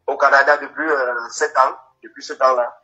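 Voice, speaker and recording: A man with a Cameroonian French accent, a caller speaking earnestly and haltingly with many fillers. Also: medium pitch, flat delivery, bright, forceful tone. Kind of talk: live phone-in chat. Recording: dull lo-fi phone line.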